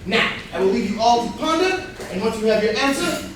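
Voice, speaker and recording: A woman playing a formal, deliberate butler who presides over a murder game in a staged comedy reading. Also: on the high side, lively intonation, close to an everyday voice. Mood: formal, stately. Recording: very echoey room, distant mic, faint noise.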